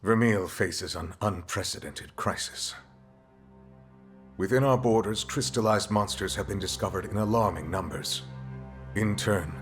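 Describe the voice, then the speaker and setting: An actor playing a solemn, formal envoy of a queen in a fantasy game, eloquent and measured. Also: low-pitched. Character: solemn, courtly male envoy of a queen, steely beneath courtesy. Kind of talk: cinematic fantasy game acting. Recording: orchestral music behind.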